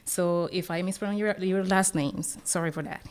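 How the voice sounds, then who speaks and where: A woman's voice: fairly low pitch, steady tone, normal speed. A woman from Colombia with a Colombian accent, speaking English as a second language, giving a panel discussant's commentary with some hesitation. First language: Spanish. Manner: hesitant, with fillers and restarts. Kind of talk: academic conference commentary.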